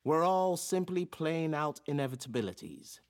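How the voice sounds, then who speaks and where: An actor playing a forceful philosophy professor in an audio drama, lecturing in an emphatic, theatrical and blunt manner. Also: mid-range voice, expressive, moderate pace, natural voice. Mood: grandly assured.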